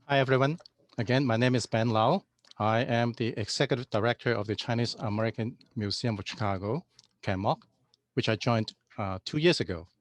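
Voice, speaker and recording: A man from Hong Kong gives a prepared introduction of himself at a measured, formal pace. His voice sits at an ordinary pitch for a man. The sound is dry, with no room around it, like a video call. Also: dry recording. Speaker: museum executive director.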